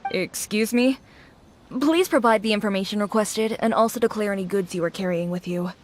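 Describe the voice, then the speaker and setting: An actress playing a brisk, formal female border official in a video game dub, with a bureaucratic manner. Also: medium pitch, lightly acted.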